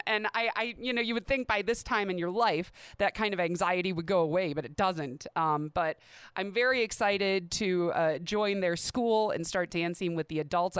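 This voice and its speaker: A woman's voice: lowish voice, fairly bright tone, clean tone, no room sound. Chatty woman podcast host. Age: 30s. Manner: chatty, candid, self-deprecating. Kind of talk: casual solo podcast talk.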